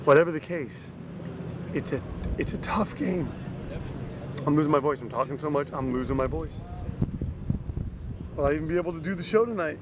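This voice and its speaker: Guy doing a beat-down broadcaster muttering to himself, bit high voice, going hoarse, traffic noise outside. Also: natural voice. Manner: halting, repeats himself, talks to himself. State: losing his voice. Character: dejected male broadcaster in midlife, self-doubting. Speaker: actor playing a fired sports broadcaster. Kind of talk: improvised-sounding dramatic monologue. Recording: outdoor street noise behind.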